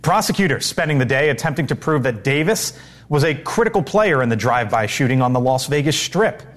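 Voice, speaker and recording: A man reading the news as anchor, crisp and brisk. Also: medium pitch, animated.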